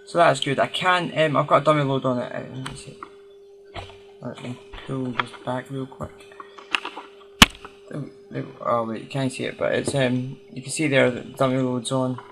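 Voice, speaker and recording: A man's voice: mid-range voice, steady tone, moderate pace. A man giving casual, unscripted hands-on commentary as he tinkers, hesitantly thinking aloud. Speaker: hobbyist restorer hosting a video. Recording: noisy home recording with clicks.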